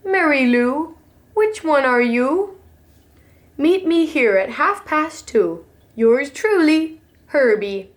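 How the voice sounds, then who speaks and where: Woman voicing a lovesick slug, very expressive. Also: high-pitched, takes it slowly. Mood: hopeful, yearning. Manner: earnest, singsong rhyming verse. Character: lovesick male garden slug, shy and earnest.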